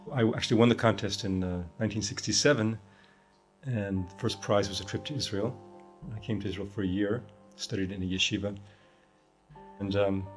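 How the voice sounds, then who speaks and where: Man from the US, first language Hebrew, lowish voice, thoughtful and halting; faint music under. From United States. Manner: thoughtful, halting, many restarts and fillers. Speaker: interviewee. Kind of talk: documentary interview.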